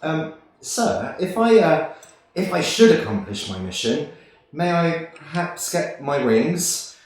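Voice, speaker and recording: A man playing a meek, hesitant old angel in a radio play, deferential and halting, in an echoey hall. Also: on the high side, sing-song, a little mellow, recorded from a distance. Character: meek, anxious old male angel awaiting wings. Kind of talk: 1940s radio play acting on stage.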